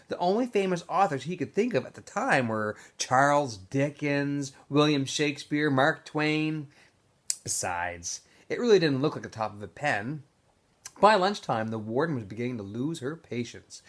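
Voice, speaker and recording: A man reading a novel aloud to students in an expressive, storybook style with emphatic phrasing. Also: on the high side.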